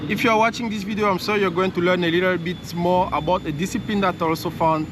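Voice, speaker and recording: Man, high voice, casual interview host, rephrases often, clear street noise behind. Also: steady tone, fast. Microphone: handheld camera held by him. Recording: loud outdoor traffic noise.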